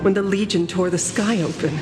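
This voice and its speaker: A woman playing a solemn, resolute ancient female figure in a video game, with grave, measured, formal delivery. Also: medium pitch, steady tone, lightly acted. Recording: echoey hall reverb under loud music.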